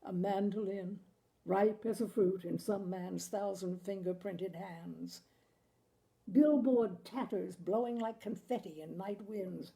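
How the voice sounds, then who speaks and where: A woman narrating a short story in an expressive, deliberate audiobook style, savoring the phrasing. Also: mid-range voice, vocal fry, rough recording. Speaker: literary reader, storyteller.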